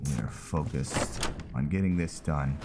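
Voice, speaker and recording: A man's voice: rumbling low, unhurried, creaky, very noisy. A man giving casual, laid-back let's-play commentary on a video game, thinking aloud as he plays. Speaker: gaming host, roleplaying player.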